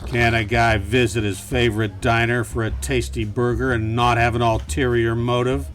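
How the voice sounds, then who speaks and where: A man's voice: medium pitch, level tone, moderate pace, a bit bright, lightly acted. A man playing a world-weary, wisecracking private eye in a campy noir radio play, dry and wry. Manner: dry, wry, hard-boiled. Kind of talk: campy noir dialogue and narration.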